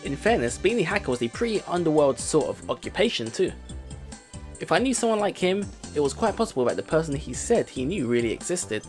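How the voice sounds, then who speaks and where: Man, let's play host, animated intonation, reading aloud, casual commentary, soft music behind. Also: a little high, a little fast.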